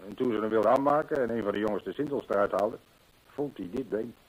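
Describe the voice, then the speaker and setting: An actor playing an earnest, discreet employee in a radio play, respectful and hesitant, repeating himself. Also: male voice, fairly low pitch, fast talker, lightly acted, poor audio. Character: deferential horse-stable employee, earnest, discreet witness. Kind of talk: Dutch radio play acting.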